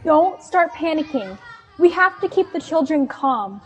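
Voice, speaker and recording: A woman's voice: high-pitched, lively intonation, unhurried, highs cut off. A young woman playing a sensible, earnest older teenager in a sci-fi radio play, no-nonsense. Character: sensible older teenager keeping the group calm.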